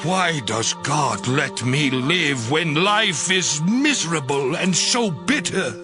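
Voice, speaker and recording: Anguished devout sufferer, high pitch, slow, impassioned, mournful, audio drama. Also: male voice, animated, over music. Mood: anguished lament. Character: anguished, devout suffering man crying out to God. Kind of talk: dramatized audio Bible acting.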